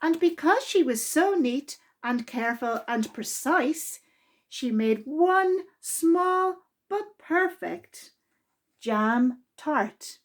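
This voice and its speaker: A woman with a high voice reads a children's storybook aloud at a very unhurried pace. She narrates expressively and deliberately, stretching key words for young listeners. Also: expressive.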